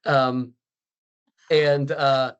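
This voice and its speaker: A man's voice: medium pitch, little pitch movement, very slow, clean tone, lo-fi sound. An American man hosting a podcast, joking easily and talking loosely with many fillers. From USA. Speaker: podcast host. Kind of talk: casual interview chat.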